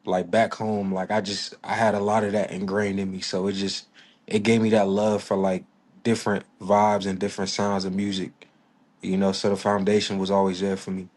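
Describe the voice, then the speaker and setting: Laid-back young man, low flat voice. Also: moderate pace. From Virginia, United States. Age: 20s. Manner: laid-back, many fillers, soft-spoken. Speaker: musician guest. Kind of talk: casual interview.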